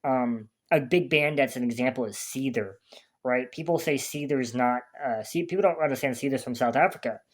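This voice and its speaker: A man's voice: mid-range voice, brisk. A man hosting a music reaction video, talking casually in a rambling way and often restarting his sentences. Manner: chatty, rambling, restarts sentences while searching for words.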